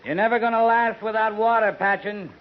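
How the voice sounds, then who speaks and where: An actor playing a calm, steely cavalry captain who speaks quietly and is unshaken, in a 1950s radio drama. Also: male voice, sits high, fairly quick, lightly acted. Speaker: Captain Lee Quince. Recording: dull old radio recording with hiss.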